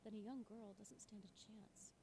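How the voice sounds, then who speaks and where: A determined female investigator narrates her own cyberpunk story. Her voice sits at a middle pitch, with measured, expressive phrasing and a wry edge, as in a visual novel. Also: husky, rough recording. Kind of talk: scripted first-person narration.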